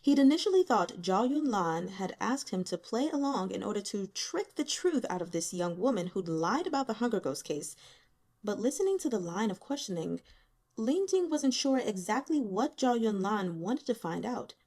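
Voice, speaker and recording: A woman reading a novel aloud as expressive scripted narration. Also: mid-range voice, moderate pace. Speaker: audiobook reader.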